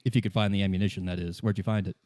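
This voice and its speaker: A man's voice: deep voice, fairly even intonation, very fast, mellow, no echo, pitched below the performer's own voice, lightly acted. Tabletop game role: proud old ex-guard played by a man, deferential, courteous weapons buff, dry close sound. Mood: helpful, courteous.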